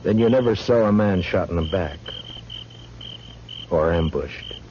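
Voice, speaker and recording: An actor playing a grim, laconic frontier marshal in a 1950s radio western, speaking in a dry, deliberate way on an old recording. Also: male voice, sits low, darkish tone, lo-fi sound, a little hiss or hum. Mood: pointed, grave.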